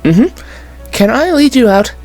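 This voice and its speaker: A woman's voice: a little high, sing-song, measured pace, darkish tone, faint music behind, exaggerated voice. A woman voicing a nervous young male gamer in an audio drama, hesitant and stammering. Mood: flustered.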